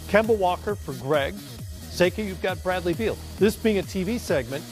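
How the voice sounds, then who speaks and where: This is a man presenting a studio sports panel. His voice sits a little higher than most men's. He talks conversationally as a host, with soft music underneath. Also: animated, moderate pace.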